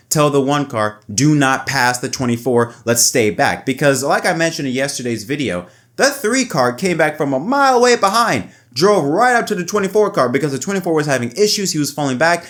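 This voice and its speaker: A man's voice: fairly high pitch, a bit bright. Male host, opinionated motorsport analysis, emphatic and repetitive phrasing. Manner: emphatic, repeats words and phrases. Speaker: motorsport commentary video host. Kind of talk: opinionated race analysis commentary.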